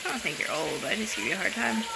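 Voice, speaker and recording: A woman's voice: mid-range voice, expressive, fast talker, gravelly, background noise. A woman in her twenties chatting casually, teasing her partner in a playful way. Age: 20s. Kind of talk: casual chat.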